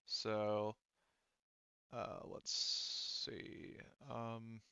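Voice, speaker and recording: Man, game master, casual halting narration, profane, slow pace, dry but slightly dull recording. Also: lowish voice, flat delivery, creaky, pushed and bright, clean tone, no echo.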